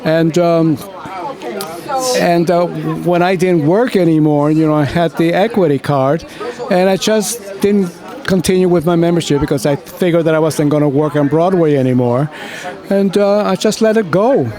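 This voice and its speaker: A man's voice: a little high, normal speed, warm tone. An older man from Cuba, a performer, talking playfully at a crowded indoor event while getting over a cold. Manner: playful, sings a cappella when asked. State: recovering from a cold. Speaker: guest performer. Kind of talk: casual event interview. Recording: crowd chatter behind.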